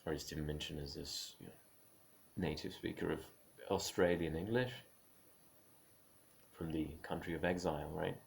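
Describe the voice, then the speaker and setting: A man with a very low voice that keeps sliding into a gravelly fry. He muses aloud without a script, stumbling and restarting often and dropping small laughs between his points. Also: normal speed, long pauses, a little hiss or hum. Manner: musing, opinionated. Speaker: speaker on creative careers. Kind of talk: unscripted spoken monologue.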